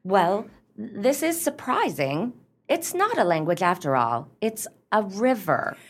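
Radio play role: easily scandalized bookish lady woman actor, mid-pitched, very varied intonation, proper manner. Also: sing-song, slow. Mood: surprised. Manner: prim, hesitant, proper. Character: prim, pedantic book club lady, easily scandalized.

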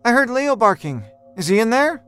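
Worried girlfriend, acted by a male narrator: raised pitch, insistent questioning, music underneath. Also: sing-song, moderate pace, a bit bright, full character voice.

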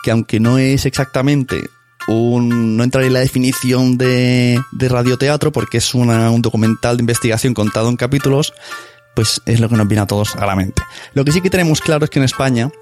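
A man's voice: medium pitch, steady tone, brisk, a little mellow, faint music behind. A man from hosting a podcast interview in a casual, chatty way.

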